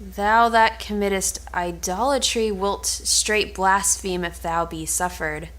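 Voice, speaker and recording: A woman's voice: mid-range voice, steady tone, fairly bright tone. A woman playing a frank, admonishing confidant, reading formal archaic lines in an amateur cold read of an Elizabethan play. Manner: measured, formal archaic phrasing.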